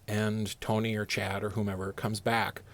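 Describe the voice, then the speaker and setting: A man's voice: lowish voice, level tone, moderate pace, fairly bright tone, no room sound. A man telling a personal story in a casual, slangy, conversational way, with some swearing and acted dialogue.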